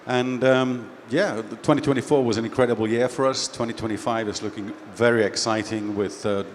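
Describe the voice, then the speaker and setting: A man's voice: medium pitch, brisk, slight background noise. Man with a light Finnish accent. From Finland. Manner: relaxed, conversational, with fillers. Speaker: panelist, company CEO. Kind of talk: conference panel talk. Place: expo stage.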